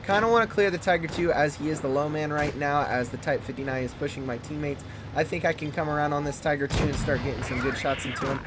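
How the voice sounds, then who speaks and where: Fast-talking man reviewing a game tank. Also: a little high, steady tone, noisy background. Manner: fast-talking, loose and chatty. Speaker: gaming channel host.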